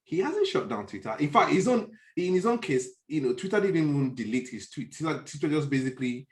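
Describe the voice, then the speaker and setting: A man's voice: a little high, sing-song, a little fast. A man with a Nigerian accent in a conversational discussion, often stopping to search for words and restate his point.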